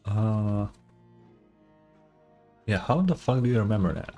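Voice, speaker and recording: Female game streamer: remarkably deep pitch, terse, dry, casual commentary. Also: animated, unhurried, warm tone, faint music behind.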